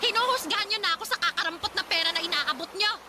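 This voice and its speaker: A woman's voice: very high voice, rapid-fire, noisy background. A woman playing a defensive, irresponsible middle-aged mother in a radio drama, scolding and self-pitying in a dramatic way. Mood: indignant, aggrieved. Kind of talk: Filipino radio drama acting.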